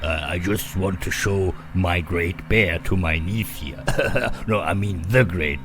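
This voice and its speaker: A man's voice: low voice, takes it slowly, background noise. A man playing a sleazy, lecherous old rich businessman in a motion comic, chuckling and stammering as he talks. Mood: flustered, sheepish. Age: older.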